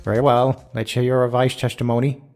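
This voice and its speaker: A man voices a solemn male judge in a game drama. His mid-pitched voice is formal and authoritative, with deliberate phrasing and faint music behind it. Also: level tone, a little mellow, close to an everyday voice. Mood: considering. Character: solemn, mildly bewildered male courtroom judge. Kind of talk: video game courtroom dialogue.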